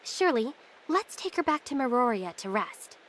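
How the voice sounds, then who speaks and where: A woman's voice: a little high, very animated, moderate pace, a bit bright, slight background noise. A woman playing a confident, teasing but caring young female guide in an anime-style game. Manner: breezy, teasing.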